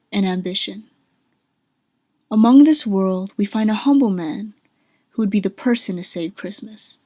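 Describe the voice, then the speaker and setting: Mellow woman narrator, close and dry. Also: mid-range voice, no echo. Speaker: cast member and narrator. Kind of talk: scripted narration.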